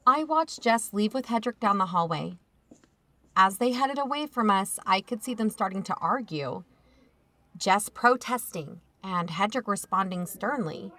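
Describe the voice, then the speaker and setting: An actress playing a watchful, protective aunt in a first-person audio drama, conversational and wry. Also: female voice, medium pitch, expressive, measured pace, a bit bright, dry recording. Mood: uneasy narration. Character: watchful, protective aunt narrating her own mystery.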